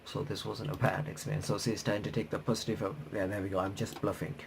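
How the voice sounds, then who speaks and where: A man's voice: on the low side, level tone, quick pace, gravelly, background noise. A man doing a game playthrough, reading on-screen text aloud with casual commentary, often stumbling and correcting himself. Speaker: host of a game playthrough and reaction channel.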